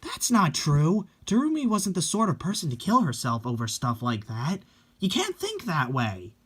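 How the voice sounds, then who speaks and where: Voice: medium pitch, wildly swooping pitch, moderate pace, a bit bright, slightly rough, exaggerated voice. A performer voicing a frightened teenage schoolgirl who narrates a horror manga, shaken and protective of friends. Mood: protective, urgent. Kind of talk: dramatic reading of a horror manga.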